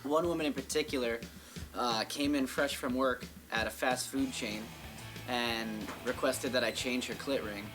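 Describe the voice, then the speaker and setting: Male tattooer, mid pitch, casual anecdotes in interview, clear guitar underscore. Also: fairly even intonation, moderate pace. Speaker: tattoo artist. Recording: guitar music behind the voice. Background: guitar music.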